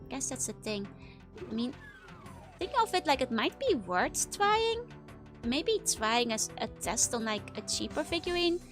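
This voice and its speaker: A young woman with a Dutch accent chatting casually while streaming a game, rambling with lots of fillers and giggles. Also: fairly high pitch. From Netherlands. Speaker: VTuber streamer. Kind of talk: casual gameplay chat reading chat.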